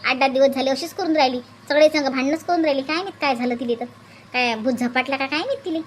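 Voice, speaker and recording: A woman voicing several bickering village women in a cartoon, shrill and scolding, with a Varhadi Marathi accent. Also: fast talker, a little hiss or hum, natural voice. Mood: soothing. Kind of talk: cartoon voice acting.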